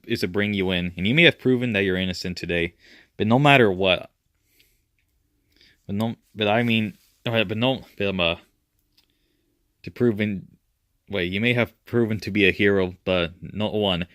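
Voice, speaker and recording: A man voicing a stern male government enforcer in a superhero story, giving emphatic but halting warnings. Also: on the low side, a bit bright, no echo, clean studio sound, natural voice.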